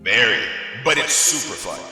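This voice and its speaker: Breezy God, low forceful voice, swooping delivery, cavernous reverb, comic radio play. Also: male voice, sing-song, normal speed, full character voice. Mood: jovial, chatty. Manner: grand yet casual and jokey. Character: God, breezy, folksy, jovial older male deity. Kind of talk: comic audio drama acting. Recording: echoing hall reverb, music underneath.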